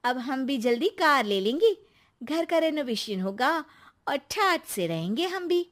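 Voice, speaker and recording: A woman voicing a chatty, excitable young wife in a cartoon story, with an animated manner. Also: sits high, a little slow. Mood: happy.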